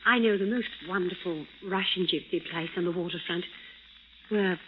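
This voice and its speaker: A woman's voice: lowish voice, moderate pace, slight background noise, natural voice. Woman playing a romantic sweetheart, tinny old radio. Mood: admiring. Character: admiring, romantic young woman, adventurer's sweetheart. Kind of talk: 1940s radio romance-adventure acting.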